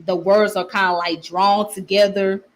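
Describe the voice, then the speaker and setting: A woman's voice: mid-range voice, flat delivery, normal speed. A woman with a strong Southern accent, hosting a casual livestream where she reads comments and often repeats her phrases. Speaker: channel host.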